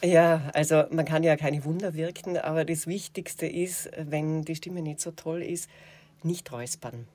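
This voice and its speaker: A woman with an Austrian accent, a radio and television presenter, answering interview questions in a thoughtful way with many pauses and fillers. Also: low voice, fairly even intonation, darkish tone.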